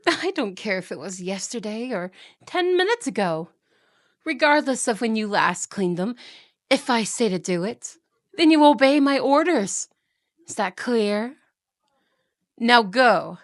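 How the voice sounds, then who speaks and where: Woman acting as wicked queen, high voice, imperious, menacing, theatrical, dry close recording, fantasy roleplay. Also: animated, a little slow, long pauses, dry recording. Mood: commanding anger. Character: jealous, cruel evil queen stepmother, haughty and volatile. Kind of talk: fantasy ASMR audio roleplay.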